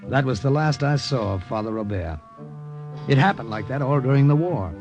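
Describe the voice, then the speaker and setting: An actor as matter-of-fact American wartime agent. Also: male voice, medium pitch, lively intonation, moderate pace, dull audio, lightly acted. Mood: reflective narration. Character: plain-spoken, confident American OSS agent undercover, narrating. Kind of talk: radio drama acting and first-person narration. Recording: old radio broadcast with loud music.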